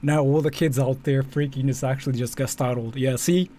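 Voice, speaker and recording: A man's voice: medium pitch, steady tone, fairly quick, dark tone. A man giving casual, rambling, sweary reaction commentary, often repeating himself. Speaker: commentary streamer. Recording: faint background noise.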